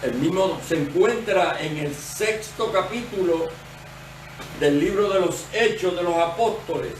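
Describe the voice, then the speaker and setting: A male associate pastor with a Puerto Rican accent gives a sermon in a fairly high voice, unhurried and deliberate. He explains step by step. He sounds set back from the mic in a roomy church, with faint noise behind. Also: distant mic. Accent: Puerto Rican Spanish. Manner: measured, explanatory preaching.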